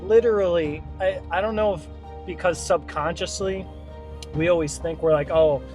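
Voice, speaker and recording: Fitness coach, male, 30s, a touch high-pitched, blunt and energetic, casual to-camera delivery, faint music bed. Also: normal speed. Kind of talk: casual direct-to-camera talk.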